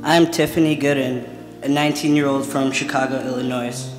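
A man's voice: medium pitch, fairly even intonation, a little fast. A man reads prepared text aloud solemnly as a volunteer at a memorial. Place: church lectern, indoors. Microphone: lectern gooseneck microphone. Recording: echoey hall, guitar music behind.

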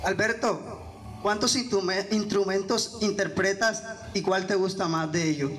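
A man's voice: sits high, fairly even intonation, unhurried, over music. A young man co-hosting, introducing the guest in an announcer-like way and asking questions on a handheld mic in an indoor hall. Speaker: co-host. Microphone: handheld microphone.

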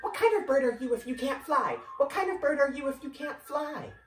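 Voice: sits high, lively intonation, brisk, pitched above the performer's own voice. A storyteller putting on a chirpy little bird. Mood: teasing. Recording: roomy distant recording, faint music.

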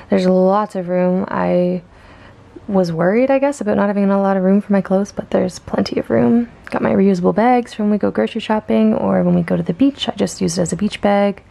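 Young woman from Canada, student vlogger; upbeat, chatty walkthrough, strong fry, mellow tone, handheld camera. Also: medium pitch. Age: young adult. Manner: chatty, upbeat, with filler ums and small laughs. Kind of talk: casual walkthrough narration. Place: outdoors on campus, then indoors in a dorm room.

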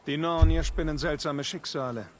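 An actor playing a stern, commanding Norse warrior who speaks solemnly and deliberately in a video game. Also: male voice, a little high, a little hiss or hum, close to an everyday voice. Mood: grave, resolute. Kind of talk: dramatic video game dialogue.

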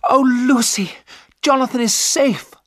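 Man voicing an anxious, devoted young woman, pitched high. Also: big pitch swings, normal speed, a bit bright, no echo, clean studio sound. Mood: joyful, excited.

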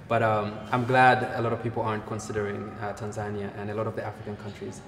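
A man, a healthtech co-founder, explaining his work in an interview with a measured delivery and frequent fillers, recorded in a bright office. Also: lowish voice, monotone, echoey room, long reverb tail, slight background noise. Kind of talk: technical interview answers. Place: bright office by large windows, indoors.

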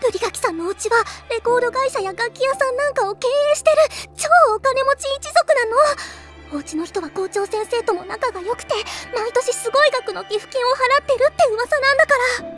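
A woman voicing a timid, worrying high school girl in an anime, fretful and exasperated. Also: animated, a little fast, music in the background. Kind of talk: Japanese anime voice acting.

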